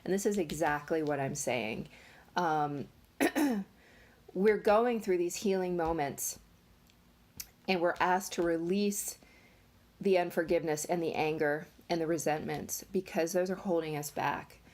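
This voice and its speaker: A woman giving a casual tarot reading, talking in a nasal, congested voice with many pauses and fillers. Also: on the low side, darkish tone. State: congested from allergies. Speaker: tarot reader.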